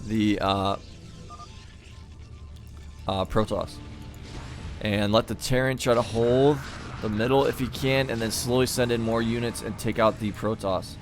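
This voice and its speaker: A man giving live commentary on a real-time strategy match in an easygoing, thinking-aloud way, and he is losing his voice. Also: mid-range voice. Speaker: game caster.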